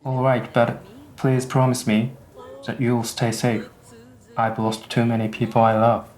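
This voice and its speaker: A man playing a gentle, hesitant Japanese soldier in a radio drama. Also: medium pitch, level tone, measured pace, close to an everyday voice. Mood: worried. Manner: hesitant, polite.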